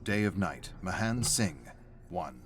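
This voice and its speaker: A man's voice: deep voice, animated, slow pace. A man reading scripted story narration as the narrator of a game's lore. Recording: faint music under the narration. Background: music.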